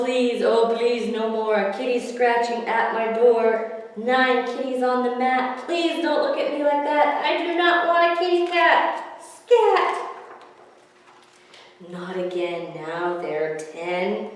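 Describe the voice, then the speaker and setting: A woman voicing a grumpy but soft-hearted old man from a storybook, exclaiming in exasperated rhymes. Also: a little high, heavy reverb, in a large hall, far from the mic.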